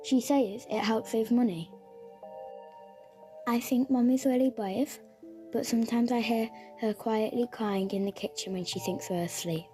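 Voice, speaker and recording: A woman voicing a young girl who earnestly tells a gentle storybook tale about her home. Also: on the high side, unhurried, natural voice. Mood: wistful. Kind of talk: scripted storybook narration. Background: music.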